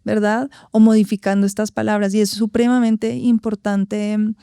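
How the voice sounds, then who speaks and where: A woman from Colombia with a Bogotá accent, a guest speaking thoughtfully in an interview, often pausing to find words and adding tag questions. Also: medium pitch, little pitch movement, warm tone, clean tone, no room sound, studio quality. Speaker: guest, actress and vocal coach. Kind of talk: reflective interview talk.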